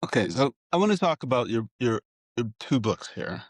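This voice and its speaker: A man's voice: mid-range voice, sing-song, normal speed, no echo. Interviewer, male, laid-back and slangy, trips over his questions; dry close mic. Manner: casual, slangy, stumbling questions. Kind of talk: casual interview.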